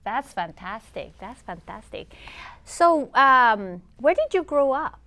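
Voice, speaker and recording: A woman hosting a TV interview, effusive and quick to repeat her praise. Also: a little high, very animated, bright, forceful tone. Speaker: host.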